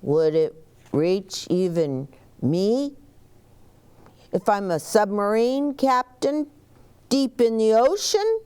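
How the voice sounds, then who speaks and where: Woman voicing a high-pitched, curious little child. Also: lively intonation, slow pace, pauses often, a little mellow, clean tone. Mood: curious, wondering. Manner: questioning, earnest, childlike. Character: curious, anxious young twin children, boy and girl.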